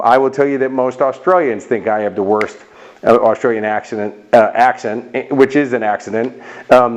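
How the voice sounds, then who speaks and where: A middle-aged man from Chicago with an American accent, giving a lively motivational talk and acting out short voices in his stories. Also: mid-range voice. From Chicago, United States. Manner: animated storyteller, acts out little voices and jokes. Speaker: motivational speaker.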